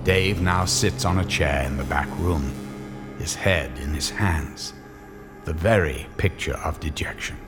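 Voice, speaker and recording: A man acts as the narrator of a radio horror story, in a very low voice with a long hall reverb. His scripted telling is theatrical and measured, over faint music. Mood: ominous, wry. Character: omniscient male narrator of a horror radio tale, dramatic.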